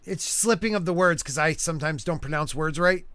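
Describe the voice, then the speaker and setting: Casual podcast host, man, bright and sharp, high for a guy, jokey. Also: a little fast. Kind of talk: casual chat reading chat names.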